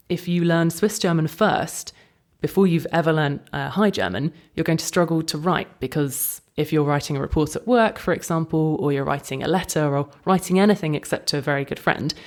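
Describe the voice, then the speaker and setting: Deep-voiced woman explaining. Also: brisk, few pauses. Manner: clear, informative, explains practical details. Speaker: podcast co-host. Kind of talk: casual podcast chat.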